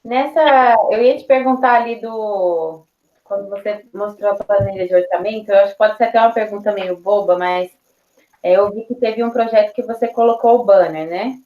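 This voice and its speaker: A woman's voice: medium pitch, moderate pace. Student, woman, polite and hesitant, dull echoey call audio. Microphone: video call.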